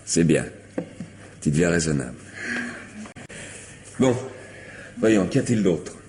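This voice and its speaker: An actor playing a smooth, manipulative lover in a radio mystery, coaxing and insistent, slipping into commands. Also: male voice, low-pitched, big pitch swings, takes it slowly, a little mellow, a little hiss or hum, heavily acted. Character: smooth, manipulative male lover greedy for valuables. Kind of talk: theatrical French radio mystery acting.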